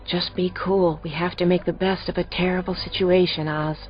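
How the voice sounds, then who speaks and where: A woman's voice: on the low side, level tone, normal speed, lightly acted. A woman voicing a brave, defiant teenage girl in an audiobook, speaking tensely. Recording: lo-fi audio with faint music.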